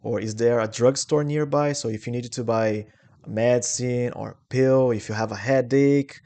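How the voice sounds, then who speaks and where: Brazilian-accented male English teacher, mid-pitched, patient and clear, repeats phrases for learners. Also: steady tone, moderate pace, mellow. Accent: Brazilian Portuguese. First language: Portuguese. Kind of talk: English lesson.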